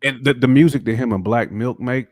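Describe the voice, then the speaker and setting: A man's voice: medium pitch, brisk, dry recording, clean studio sound. A man co-hosting a hip-hop show, talking casually in emphatic slang and repeating himself to press his point. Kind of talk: casual music discussion.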